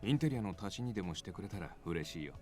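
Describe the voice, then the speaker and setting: An actor playing a suave, prickly gentleman in a Japanese visual novel, smooth and condescending. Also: low-pitched, lively intonation, rapid-fire. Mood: gracious. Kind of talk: Japanese game voice acting. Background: game music.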